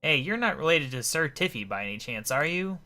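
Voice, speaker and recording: A man's voice: a little high, big pitch swings, brisk, sharp tone, pitched above the performer's own voice, exaggerated voice. A man voicing an earnest, puzzled adventurer in a fantasy game, politely asking questions. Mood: curious. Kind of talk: reading video game dialogue aloud.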